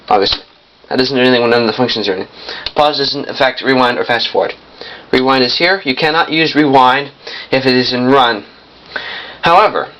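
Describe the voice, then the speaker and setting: A young man giving a casual hands-on demonstration in a halting, piecemeal way, often correcting himself. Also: mid-range voice, a bit bright. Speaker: vintage audio collector demonstrating a machine. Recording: muffled lo-fi camcorder sound, noisy.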